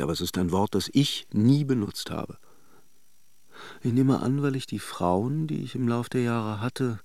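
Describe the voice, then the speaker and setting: Radio drama lover: teasing, reflective charmer, highly animated delivery, dry sound. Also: male voice, gravelly, dry recording. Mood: musing, wry. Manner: teasing and joking, musing when alone. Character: playful, flirtatious Russian philanderer, charming but privately reflective. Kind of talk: radio drama acting in intimate dialogue and monologue.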